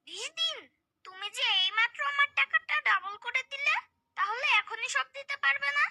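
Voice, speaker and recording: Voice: extremely high pitch, animated, moderate pace, pushed and bright, soft background music, heavily acted. A performer voicing a curious, cheeky, credulous little boy in a cartoon, chirpy and inquisitive.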